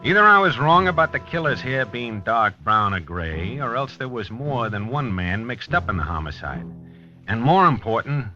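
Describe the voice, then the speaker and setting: An actor playing a plain-spoken, probing police lieutenant in 1950s radio drama style. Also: male voice, medium pitch, sing-song, moderate pace, sharp tone, faint music behind. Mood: matter-of-fact narrating. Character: dogged male police lieutenant investigating a homicide. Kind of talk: 1950s radio acting, narration and dialogue. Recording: dull 1950s radio recording.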